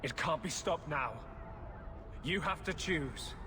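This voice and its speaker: An actor playing a grim, fanatical rebel mage in a fantasy game, speaking with solemn, resolute intensity. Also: male voice, a little high, takes it slowly, noisy background. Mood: grim resolve. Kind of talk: dramatic fantasy game acting.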